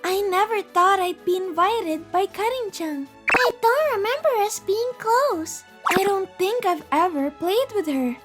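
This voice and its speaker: A woman's voice: very high voice, expressive, measured pace, few pauses. A woman voicing a polite young female college student, narrating and chatting in a scripted manga story. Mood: chatty. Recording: music behind the voice.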